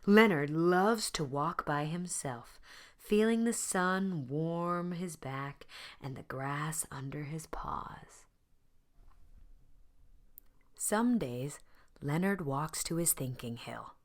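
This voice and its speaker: A woman reading a children's story aloud in expressive narration, with deliberate phrasing and dramatic pauses. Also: low-pitched. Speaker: storybook reader.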